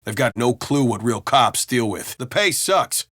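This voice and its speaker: A man's voice: medium pitch, animated, a little slow, a bit bright, no echo, clean studio sound. A man playing a brash, griping American city cop in video game banter, talking in a colloquial, wry and profane way. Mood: indignant. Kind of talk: casual in-game banter dialogue.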